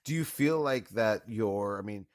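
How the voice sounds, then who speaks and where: A man's voice: lowish voice, animated, a little slow. A man hosting an interview, asking casual, loosely worded questions.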